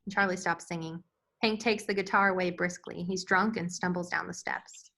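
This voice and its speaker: A woman's voice: lowish voice, fairly even intonation, moderate pace, gravelly, a bit bright. A woman reading stage directions as scripted narration at a screenplay table read.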